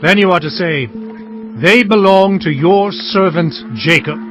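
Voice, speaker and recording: An actor playing an earnest, humble herdsman patriarch in a dramatized scripture reading, speaking in a measured, solemn way. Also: sits high, noisy background. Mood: instructing, deferential. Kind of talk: dramatized audio scripture acting. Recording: dull lo-fi audio over music.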